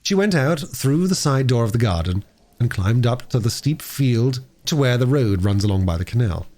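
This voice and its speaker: A man's voice: medium pitch, animated, normal speed, warm tone. A man reading a storybook aloud as a narrator. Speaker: audiobook reader.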